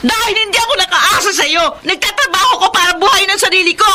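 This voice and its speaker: High-pitched, defiant Filipino younger sister. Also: female voice, fast, heavy background noise. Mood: defiant, aggrieved. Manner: emotional, melodramatic delivery. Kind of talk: Filipino radio drama acting.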